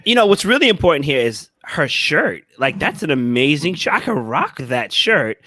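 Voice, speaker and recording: A man's voice: a little high, very animated, moderate pace, sharp tone, dry recording, studio quality. A man co-hosting a casual talk show, bantering in a playful, joking manner. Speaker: podcast co-host.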